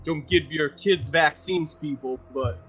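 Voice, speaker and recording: A man's voice: a little high, a little slow, fairly bright tone, slightly rough, dull audio, soft background music. A man playing a tabletop roleplaying game, casually talking through his actions and improvising dialogue in a hesitant, self-correcting way.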